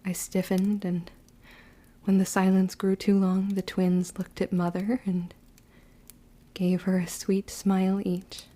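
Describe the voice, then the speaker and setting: A woman acting a wounded, vengeful young witch who narrates her own story in an audio drama, with deliberate, emotive delivery. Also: medium pitch, completely flat delivery, mellow. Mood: tense, hushed.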